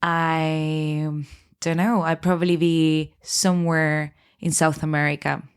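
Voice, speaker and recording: A woman's voice: low-pitched, little pitch movement, takes it slowly, clean tone. Woman with a Spanish accent, speaking hesitantly. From Spain. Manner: hesitant, thoughtful, with many fillers and restarts. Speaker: guest. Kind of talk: casual conversation.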